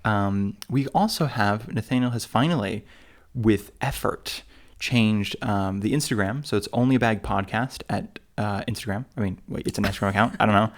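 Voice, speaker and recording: A man's voice: on the low side, moderate pace. A man co-hosting a travel podcast in casual chat, rambling and joking at his own expense. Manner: rambling, self-mocking, full of fillers and restarts. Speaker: podcast co-host.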